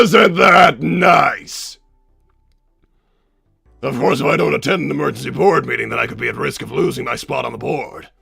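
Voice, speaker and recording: Man as a villainous scientist, sarcastic, flustered, gravelly and forceful, livestream roleplay, faint music behind. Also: on the high side, full character voice. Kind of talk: improvised character roleplay on a livestream.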